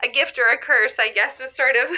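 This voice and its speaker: A sharply bright woman's voice comes through a limited phone line. She plays an earnest, gushing caller in a radio drama, rambling in casual speech full of self-doubt and wonder. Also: high-pitched, normal speed. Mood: excited, wondering. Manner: rambling, giggly, peppered with "like". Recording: thin, band-limited phone caller sound.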